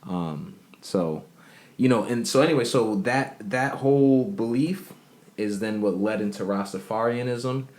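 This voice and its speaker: Deep-voiced man rambling casually. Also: a little slow, a little mellow. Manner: rambling, filler-heavy storytelling. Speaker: podcast co-host. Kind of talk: casual chat.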